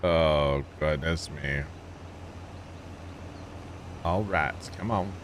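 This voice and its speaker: A man's voice: rumbling low, very slow, background noise. A man chatting casually with his stream viewers while he plays, telling rambling jokey stories and chuckling often. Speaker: livestream gamer. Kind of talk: casual livestream chat with viewers.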